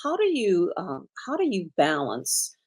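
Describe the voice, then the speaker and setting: A woman's voice: fairly low pitch, huge pitch swings, a little slow, a little mellow, slightly rough. A woman moderating a book panel as a critic, asking questions hesitantly with many ums and restarts.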